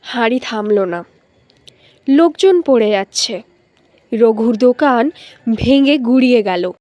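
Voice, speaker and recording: A woman's voice: on the high side, expressive, slow pace, a little mellow, no echo, muffled recording. A woman narrating a scripted cartoon story as storyteller.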